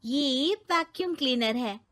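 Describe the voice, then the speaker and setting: Young modern daughter-in-law, acted by a woman, high voice, unhurried, polite, eager, earnest, cartoon story. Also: lively intonation, bright, forceful tone, full character voice. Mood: helpful. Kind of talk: animated moral-story cartoon voice acting.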